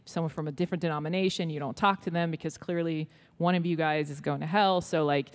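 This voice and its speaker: A woman's voice: sits low, fairly even intonation, fast. A woman in her forties from Israel who speaks with an American accent, talking candidly on stage with many fillers. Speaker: ministry leader, guest. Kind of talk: onstage interview. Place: large auditorium stage. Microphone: handheld mic.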